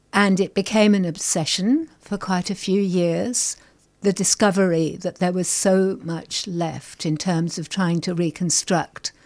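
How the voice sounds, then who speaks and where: A middle-aged woman poet from England reads her work and talks about it as a radio guest. Her voice is low with a gravelly, creaky edge. Her delivery is deliberate and careful, with the lines set apart. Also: a little mellow. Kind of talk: poetry reading and interview.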